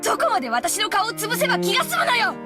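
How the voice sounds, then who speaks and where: An actress playing a harsh, short-tempered young mother with a sharp, clipped way of talking, in a visual novel game. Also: very high voice, very animated, rapid-fire. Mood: furious, scolding. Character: harsh, short-tempered young mother scolding her nine-year-old child. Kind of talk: dramatic Japanese visual novel voice acting. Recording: studio voice over loud string music.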